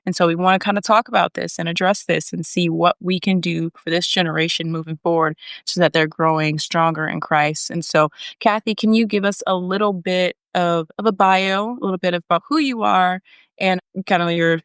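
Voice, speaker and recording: Lady hosting a podcast, chatty, kinda low voice, lots of "kinda" and "like", dry sound. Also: a bit bright, smooth, dry recording. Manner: chatty, loose, with fillers and restarts. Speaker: podcast host. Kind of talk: casual interview. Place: home abroad, on a remote call.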